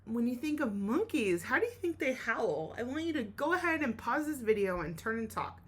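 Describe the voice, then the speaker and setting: Expressive woman teacher reading aloud to children. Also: on the high side, moderate pace, slightly rough. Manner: enthusiastic, addresses children directly with questions. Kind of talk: expressive storybook read-aloud with teacherly asides.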